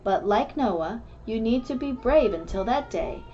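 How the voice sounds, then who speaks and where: A woman's voice: a little high, lively intonation, a little slow, warm tone. A woman reading and explaining a children's story in an earnest, instructive manner. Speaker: storybook reader and host of a kids' club. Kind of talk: rhyming picture-book read-aloud, then casual explanation to children. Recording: muffled lo-fi sound with faint background noise.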